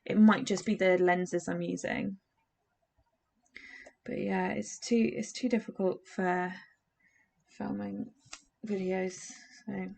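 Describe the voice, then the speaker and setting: An English woman in her twenties chats casually while crafting, drifting from one thought to the next with many fillers and restarts. Her voice sits at a middling pitch with a touch of vocal fry, and she speaks in a relaxed, rambling way. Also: takes it slowly, pauses often. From England. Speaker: doll customizing vlogger.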